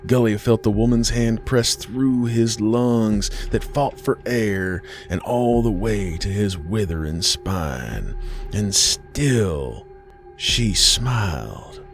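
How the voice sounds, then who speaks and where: A deep-voiced American man tells a scripted horror story over music. His delivery is very slow and deliberate, with a folksy, ominous drawl, like a host sharing a dark tale by the fire. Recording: music bed behind the voice.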